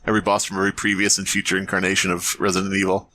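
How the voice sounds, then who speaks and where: A man's voice: low voice, completely flat delivery, very fast, sharp tone, a little hiss or hum. A man co-hosting a podcast with casual, joking, profane banter. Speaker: podcast co-host.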